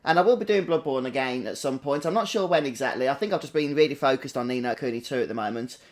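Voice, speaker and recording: A man's voice: medium pitch, fast. A man with a British accent giving casual live gameplay commentary, chatting with viewers as he hosts a stream. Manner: chatty, greets viewers individually. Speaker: game livestream host.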